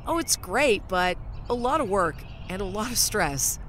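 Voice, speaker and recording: A woman's voice: mid-range voice, lively intonation, unhurried, fairly bright tone, a little hiss or hum. A woman playing a chatty, caring young lawyer in an amateur audio drama. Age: young adult.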